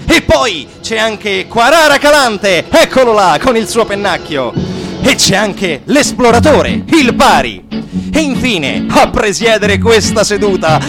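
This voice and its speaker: A man's voice: sits high, heavily acted. A man playing a fervent anti-mafia activist in a theatrical, mocking radio monologue, emphatic and dramatic. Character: fervent satirical male anti-mafia activist telling his story.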